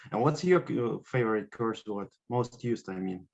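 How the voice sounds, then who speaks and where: A man hosting a podcast and asking interview questions, with halting, non-native phrasing. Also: on the low side, lively intonation, gravelly, a little mellow. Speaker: podcast host. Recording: dull lo-fi call audio.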